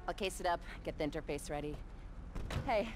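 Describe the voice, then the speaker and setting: Actress as tough heist tech gal from a video game, lowish voice, curt, vehicle noise behind her. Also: fairly quick, natural voice. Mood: brisk, businesslike. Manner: blunt, businesslike. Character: tough, curt female heist crew technician. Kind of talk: video game cutscene acting.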